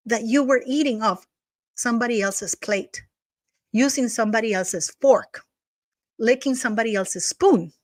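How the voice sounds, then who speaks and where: A woman's voice: a little high, dry recording, studio quality. A woman with a non-native English accent gives a scornful, gossipy commentary at home, sometimes swearing. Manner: scornful, gossipy, emphatic, drops curse words. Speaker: YouTube commentator. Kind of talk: casual ranting commentary.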